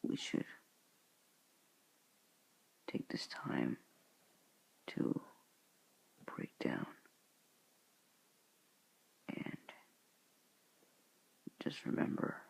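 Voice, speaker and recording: A teenage boy talking to his viewers in a soft, hushed, halting whisper, earnest and sorrowful in tone. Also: rough recording. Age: teens. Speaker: student vlogger. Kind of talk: whispered somber address to viewers.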